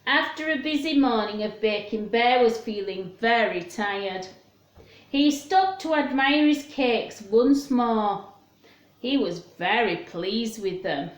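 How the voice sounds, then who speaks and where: A woman's voice: fairly high pitch, lively intonation, slow pace, roomy sound. A woman reading a story aloud to young children, speaking simply and expressively to her listeners.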